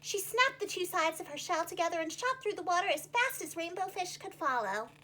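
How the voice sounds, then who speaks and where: A woman telling a children's story in an animated, expressive teacher's style. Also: very high-pitched, fairly quick.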